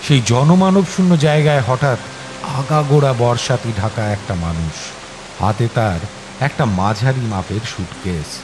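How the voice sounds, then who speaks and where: A man narrating a scripted story in a dramatic, suspenseful and expressive manner. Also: mid-range voice, a little slow, background noise. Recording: music behind the voice. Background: clear background music.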